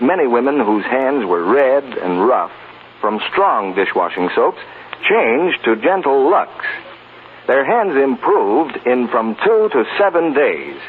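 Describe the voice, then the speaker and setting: An American man announcing in a scripted 1940s radio advertising style, polished and emphatic like a salesman. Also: medium pitch. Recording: tinny, faintly noisy 1940s radio recording.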